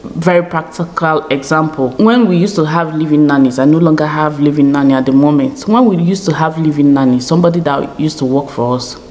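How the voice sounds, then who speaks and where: Nigerian woman, low deep voice, chatty vlogger, keeps asking "okay?", small indoor room. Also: brisk, darkish tone. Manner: chatty, often adds a checking "okay?". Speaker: YouTube vlogger. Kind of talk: casual chat to camera. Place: small indoor home studio.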